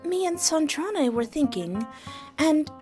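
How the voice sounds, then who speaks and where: A woman's voice: high voice, sing-song, slow, warm tone, faint music behind, voice pushed up, heavily acted. A woman voicing a cheerful, talkative young woman who makes clothes, bubbly and friendly.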